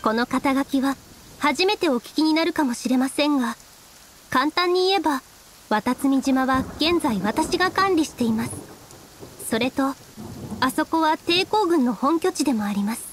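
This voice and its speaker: High-voiced, composed young priestess commander. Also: female voice, animated, a little hiss or hum. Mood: polite, composed. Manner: polite, formal, measured. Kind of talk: Japanese video game voice acting. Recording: music and rain under dialogue.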